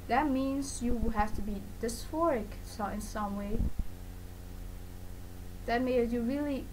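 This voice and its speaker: A young adult vlogger talking casually and personally to camera in a rambling, self-correcting, informal way. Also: mid-range voice. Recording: noticeable background noise.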